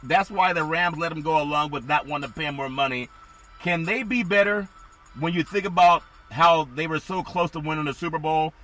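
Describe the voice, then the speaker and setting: Slightly raspy-voiced man talking football. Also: on the high side, brisk, bright, forceful tone, poor audio. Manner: rambling, asks co-host for thoughts. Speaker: sports talk host. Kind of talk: casual sports talk.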